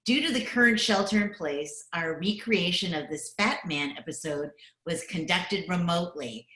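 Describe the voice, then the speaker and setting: A woman's voice: lowish voice, a bit bright. A woman announcer reading scripted radio credits, recorded at home in a roomy, echoey space.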